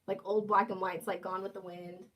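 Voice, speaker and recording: A woman's voice: medium pitch, flat delivery, brisk, a bit bright, low-quality recording. A woman in her twenties hosting a casual livestream, reading chat comments and joking playfully with viewers. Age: 20s. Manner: playful, teasing, jokey asides. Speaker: livestream host.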